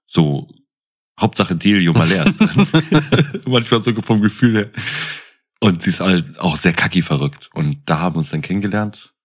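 A man's voice: sits low, lively intonation, normal speed. A middle-aged man talking casually as a podcast co-host.

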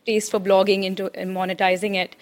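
A woman in the audience puts a question to the speaker, halting often with fillers as she searches for words. Her voice sits at an ordinary middle pitch. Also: little pitch movement. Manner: hesitant, many uh and you know. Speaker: audience member asking a question. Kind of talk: question from the floor.

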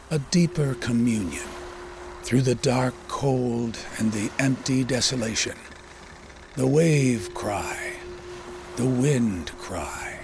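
Man, poetry recitation, very slow, solemn, gravelly fry, deliberate pauses, ambient sound behind. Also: mid-range voice, a little hiss or hum. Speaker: poetry reader. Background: ambient sound design.

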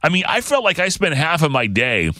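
A male radio host chats casually and without a script, often rambling and interrupting himself. His voice is bright with animated pitch, recorded close and dry. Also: a little fast, no echo. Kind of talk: casual unscripted podcast chat.

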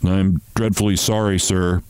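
A man's voice: low voice, little pitch movement, unhurried, darkish tone, clean tone, dry recording. A man voicing a meek, drab little male clerk in a story, polite and deferential. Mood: meek, dutiful. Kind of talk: storybook character voice.

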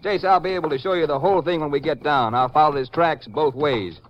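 An actor playing a plainspoken Texas Ranger with folksy cowboy phrasing in a 1950s western radio drama. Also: male voice, on the high side, a little fast, lightly acted. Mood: earnest. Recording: muffled vintage radio recording, faint noise.

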